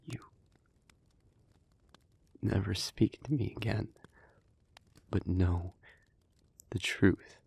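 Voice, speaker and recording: An earnest demon in love , acted by a man, speaks in a low, gravelly half-whisper. He picks his words slowly and haltingly, as if weighed down by guilt, in an intimate dramatic roleplay monologue. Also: fairly even intonation, full character voice. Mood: pleading, earnest. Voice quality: breathy, husky, whispery. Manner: slow, halting, hushed delivery with pauses. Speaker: audio roleplay voice actor.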